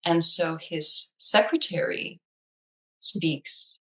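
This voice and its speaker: A woman's voice: deep voice, very slow, sharp tone, poor audio. A woman whose first language is Danish, a psychologist in casual conversation, chatty with many fillers and restarts. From Denmark. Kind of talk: casual chat.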